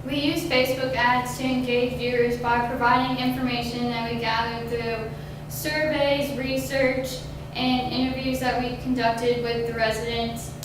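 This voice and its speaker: Young woman presenter, flat and a little halting, fairly high voice, distant mic with background hiss. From Kentucky. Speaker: student presenter. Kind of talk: class presentation. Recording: echoey room from far off with noise.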